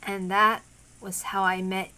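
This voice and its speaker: Bright-voiced woman reading a visual novel. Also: mid-range voice, level tone, measured pace, clear, resonant tone. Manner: informal, rambling, self-interrupting. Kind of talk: casual chat and story read-aloud.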